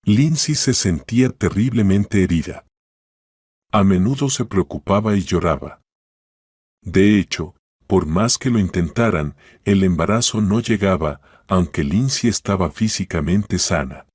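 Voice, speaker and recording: A man's voice: low voice, animated, measured pace, darkish tone, husky, no room sound, studio quality. A man reading a scripted story as a narrator.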